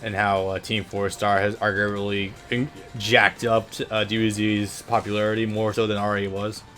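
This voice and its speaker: A man's voice: fairly low pitch, flat delivery, normal speed, fairly bright tone. A man co-hosting a let's play, bantering casually and reacting in an informal way. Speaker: gaming video co-host. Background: video game music.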